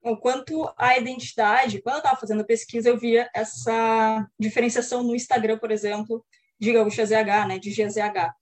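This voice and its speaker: A woman's voice: on the high side, steady tone, brisk. This is a young woman. She speaks as an academic researcher, explaining things informally and tagging phrases with small checks for agreement. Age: young adult. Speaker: academic researcher, guest presenter. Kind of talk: explaining research in an online panel.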